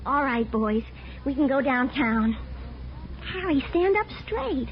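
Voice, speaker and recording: A woman's voice: sits high, big pitch swings, slow pace, exaggerated voice. An actress playing a brassy, feisty woman who stands her ground, in 1950s radio drama style. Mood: indignant, bossy. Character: brassy, evasive working-class young woman; bossy protective wife. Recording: muffled, slightly noisy 1950s radio recording.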